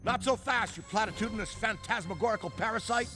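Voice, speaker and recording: An actor playing a bookish, verbose paranormal investigator in a video game cutscene. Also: male voice, high-pitched, fast talker, music in the background, lightly acted. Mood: defiant. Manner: verbose, emphatic.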